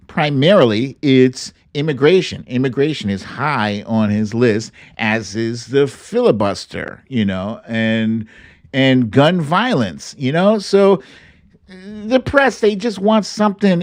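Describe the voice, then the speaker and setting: A man's voice: mid-range voice, expressive, slow pace. A man hosting a live political commentary stream, speaking casually and bluntly with salty slang and theatrical, opinionated delivery. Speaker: host of a political commentary livestream.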